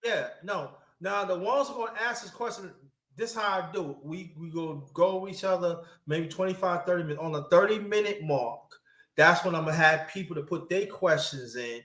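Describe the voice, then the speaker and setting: A man in his fifties from New York, hosting a casual live-stream chat and giving loud shout-outs to viewers. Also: high-pitched, normal speed. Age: 50s. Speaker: livestream host.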